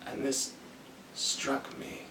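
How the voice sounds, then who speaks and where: A man performing a dramatic monologue as a haunted, brooding army officer, speaking in a halting, deliberate way with long pauses. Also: pushed and bright. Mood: grim, remembering. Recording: echoey room, distant mic, faint noise.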